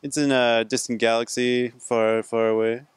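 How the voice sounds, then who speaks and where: A man playing a male alien reporter from a distant planet, speaking in a slow, spacey, matter-of-fact way. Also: medium pitch, little pitch movement, clean tone, no echo, lightly acted. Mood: deadpan.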